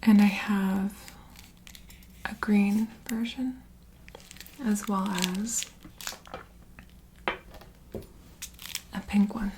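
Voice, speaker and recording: A woman softly whispering in a soothing, reassuring, unhurried way, as an ASMR host in a gentle comforting roleplay. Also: mid-range voice, little pitch movement, slight background noise. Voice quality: soft breathy whisper.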